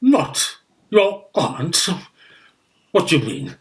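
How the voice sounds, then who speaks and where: A man's voice: on the high side, big pitch swings, extremely slow, exaggerated voice. A man playing a pompous older guardian uncle in a theatrical radio farce, with florid, hesitant speech. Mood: outraged.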